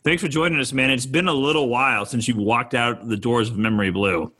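A man's voice: mid-range voice, brisk, bright, forceful tone, slightly rough. A man co-hosting a podcast interview, upbeat and jokey, often repeating words as he starts a sentence. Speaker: podcast co-host. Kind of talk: casual interview chat.

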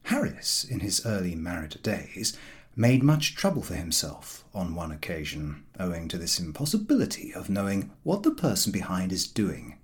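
A man reading a comic novel aloud as an expressive audiobook narrator. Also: sits low, moderate pace.

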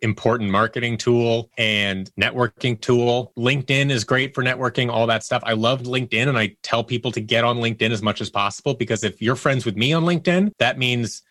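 A man's voice: mid-range voice, a little fast, hardly any pauses, fairly bright tone, dry recording, clean studio sound. A man speaking as a guest in a casual interview, candid and emphatic, often acting out little exchanges as quotes. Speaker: interview guest, audio producer.

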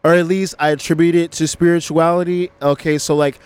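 A man from Los Angeles, a guest in a casual interview, recalling his early memories in a relaxed, reflective way full of "like" and "yeah". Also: a little high, a little fast, smooth, dry recording.

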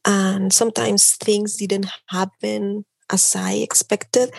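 Gravelly Mexican-accented woman, dry sound. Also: medium pitch, a little mellow, no echo. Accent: Mexican Spanish. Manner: earnest, many restarts and "like" fillers. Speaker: guest, image consultant. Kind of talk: casual interview talk.

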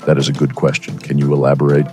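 A man's voice: very deep voice, monotone, quick pace, warm tone, faint music behind. A male radio co-host with a stuffy cold, presenting a scripted segment in a wry, dramatic way.

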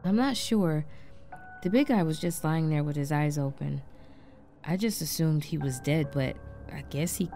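Woman playing compassionate wife, audio drama, lowish pitch, warm dark tone, hushed, halting, stammering, shaken. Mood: uneasy recounting. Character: shaken, compassionate wife trapped in frozen time. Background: background music.

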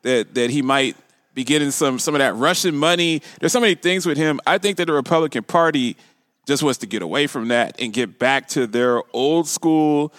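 A man's voice: mid-range voice, moderate pace, fairly bright tone, dry recording, studio quality. A man hosting a casual talk radio show, often repeating and restarting phrases for emphasis.

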